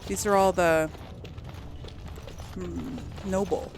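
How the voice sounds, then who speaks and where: A woman's voice: lowish voice, steady tone, slow, darkish tone. A woman hosting a casual video game let's play, rambling and musing to herself as she goes. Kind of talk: casual game commentary, thinking aloud. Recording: faint background noise.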